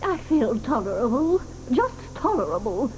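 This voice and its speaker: A querulous old grandmother, who scolds and fires off insults in a high, swooping, mellow voice. The old audio drama recording carries a faint hiss. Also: sing-song, moderate pace, rough recording. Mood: scolding. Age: older. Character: cantankerous old grandmother, sharp-tongued. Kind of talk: 1980s radio drama acting.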